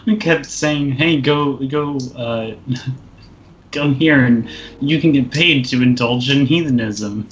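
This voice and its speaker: A man's voice: medium pitch, slow pace, dull audio, a little hiss or hum. A man chatting casually as a player in a tabletop roleplaying game. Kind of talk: casual chat.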